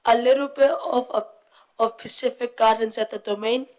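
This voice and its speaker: A woman's voice: on the high side, fairly even intonation, moderate pace, a little mellow. A young woman from Fiji reading out her part of a prepared group presentation. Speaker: youth forum presenter.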